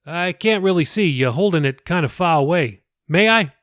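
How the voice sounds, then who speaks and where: Storybook pastor, read by a man: middle-aged, kindly, folksy and hesitant, pitched up, close dry sound. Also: lively intonation, moderate pace, a bit bright, dry recording. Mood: flustered. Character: kindly middle-aged male pastor, chatty, gently teasing.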